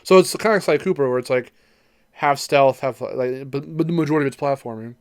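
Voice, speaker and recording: A man's voice: medium pitch, quick pace, clean tone, no echo, studio quality. A man co-hosting a podcast, chatting casually about video games in a playful, joking way. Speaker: podcast co-host.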